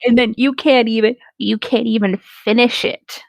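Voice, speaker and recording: A woman's voice: a little high, steady tone, moderate pace, creaky, no room sound. A woman chatting casually with friends on a voice call. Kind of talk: casual chat.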